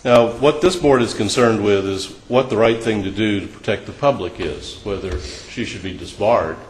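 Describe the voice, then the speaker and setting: A man's voice: fairly low pitch, normal speed. A man questioning a witness at a hearing in a plain, methodical way. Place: hearing room. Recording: echoey room with background noise.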